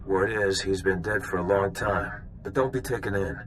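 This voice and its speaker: A man's voice: deep voice, normal speed, a little hiss or hum, lightly acted. An actor playing a seasoned bounty hunter in a video game, wry and knowing as he lays out old history. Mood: explaining, cautionary.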